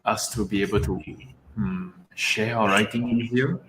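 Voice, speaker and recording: A man's voice: medium pitch, very animated, moderate pace, very noisy. A man from Singapore, a poet, chatting casually on an online panel, rambling with many fillers and frequent laughs. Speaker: poet guest.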